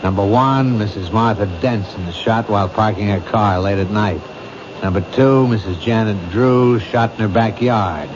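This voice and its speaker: A world-weary police captain , voiced by an actor, lays out the facts in a brisk, lowish voice. The 1970s radio drama comes through a muffled, noisy old broadcast. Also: male voice, natural voice. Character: gruff, weary middle-aged male police captain.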